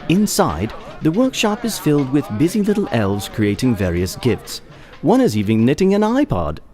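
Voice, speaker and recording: A man's voice: mid-range voice, wildly swooping pitch, normal speed, music in the background. A man narrating a scripted audio play in a descriptive storytelling manner.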